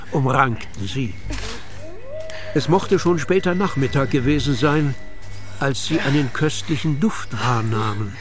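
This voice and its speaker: A man narrates a children's audio drama in a measured storybook way. His voice sits at a middle pitch, with music clearly playing behind him.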